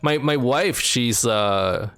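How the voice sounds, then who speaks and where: A young man from Canada chats with his stream viewers from home, mid-pitched and full of fillers. His voice leaps up when he gets going. Quiet game music plays behind him. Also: slow, clear, resonant tone, no echo. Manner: rambling, filler-heavy, pitch jumps up when animated. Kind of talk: casual chat with live chat while gaming.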